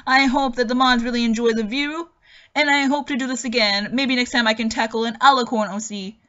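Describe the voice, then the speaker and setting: A woman's voice: fairly high pitch, fairly even intonation, a little fast, a bit bright, dull audio. A woman reading and commenting on a review in an emphatic, opinionated way. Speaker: host reading a character review aloud, then commenting.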